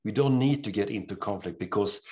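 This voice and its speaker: A middle-aged man with a Swedish accent, an author talking in an interview, earnest and animated, often restarting his sentences. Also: lowish voice, lo-fi sound. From Sweden. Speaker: author guest. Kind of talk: interview conversation.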